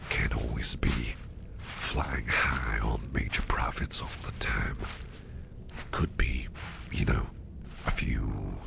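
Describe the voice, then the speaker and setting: A man playing a mischievous businessman boss in an intimate ASMR roleplay, murmuring in a halting, gravelly half-whisper. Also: a bass voice, background noise, exaggerated voice. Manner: slow, halting, repeating phrases, softly chuckling. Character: mischievous, toothy businessman boss, affectionate and covert.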